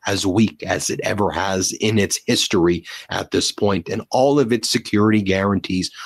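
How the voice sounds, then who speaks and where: A man's voice: low-pitched, dry recording. A man delivering emphatic, scripted political news commentary in a blunt way.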